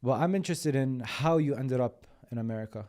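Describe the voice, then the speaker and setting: A man hosting a podcast, asking curious, halting questions in a casual interview. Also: medium pitch, darkish tone. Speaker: podcast host. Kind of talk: conversational interview.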